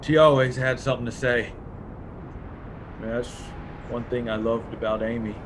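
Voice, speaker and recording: A man's voice: little pitch movement, moderate pace, natural voice. A man playing a commanding, grieving survivor leader in a dramatic table read over a video call. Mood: grieving, halting. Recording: video call with faint rumbling noise.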